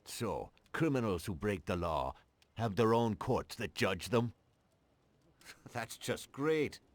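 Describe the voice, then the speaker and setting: An actor playing a cynical, righteous police detective in a video game, sarcastic and challenging. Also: male voice, lowish voice, animated, slow pace, dry recording.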